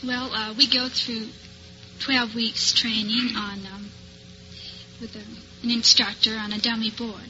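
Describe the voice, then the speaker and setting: A woman's voice: a little high, little pitch movement, measured pace, bright, forceful tone, dull audio, faint music behind. An American woman being interviewed as a quiz show contestant, giggly and a little hesitant, on an old-time radio recording. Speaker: contestant, telephone operator.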